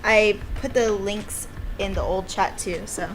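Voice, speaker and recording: A woman's voice: medium pitch, steady tone, measured pace, slight background noise, poor audio. A woman giving casual, playful and giggly commentary as she plays a game she made herself. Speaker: game developer playing her own game.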